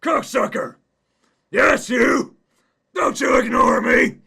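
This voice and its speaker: A man voicing an aggressive, foul-mouthed middle-aged father who yells and swears at a stranger. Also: very high-pitched, slow, creaky, slightly rough, pitched above the performer's own voice, heavily acted. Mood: furious yelling. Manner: yelling, swearing.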